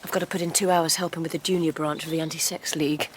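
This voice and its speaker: Female actor, sensual young rebel, radio drama, lowish pitch, wry matter-of-fact delivery, faint background ambience. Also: level tone, quick pace, noisy background, natural voice. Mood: frank, brisk. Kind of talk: naturalistic radio drama acting.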